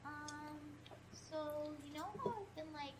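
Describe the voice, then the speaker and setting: A timid teenage girl owning up to a song she wrote. Her voice sits high and hesitant, stumbling over fillers. It rings in a roomy space over steady hiss. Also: a little slow. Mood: shy. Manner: hesitant, soft, full of fillers. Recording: echoey, noisy in-game recording.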